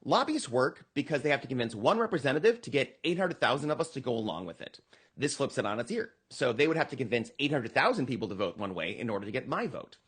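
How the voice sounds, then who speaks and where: American male, political candidate in a podcast interview; lively inflection, a touch of husk, earnest and emphatic. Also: mid-range voice, fast talker, slightly rough. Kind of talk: conversational campaign pitch in a podcast interview.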